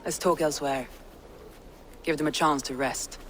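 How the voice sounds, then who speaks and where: A woman playing a steadfast, battle-hardened Danish Viking warlord in a video game, measured and commanding. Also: low-pitched, steady tone, a bit bright, a little hiss or hum. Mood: guarded, weary. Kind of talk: dramatic video game cutscene acting.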